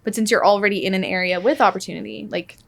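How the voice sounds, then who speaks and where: A woman's voice: medium pitch, steady tone, quick pace, vocal fry. Rambling young woman podcast co-host. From small-town America. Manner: rambling, full of "like" and "um". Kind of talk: casual chat.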